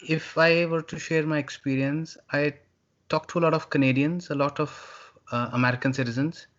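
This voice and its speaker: A man, a guest recounting his own experience in a measured, hesitant way. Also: fairly high pitch, steady tone, lo-fi sound. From India. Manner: measured, hesitant with many uh's. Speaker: interview guest, former student.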